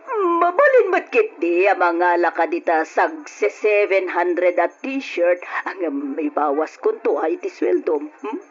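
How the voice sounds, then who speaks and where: Coaxing, thrifty middle-aged Ilocano aunt, unhurried and hesitant, muffled radio drama sound. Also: female voice, on the low side, very animated, soft background music, heavily acted. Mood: fussy, persuasive. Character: coaxing, penny-pinching middle-aged aunt shopping with nephew.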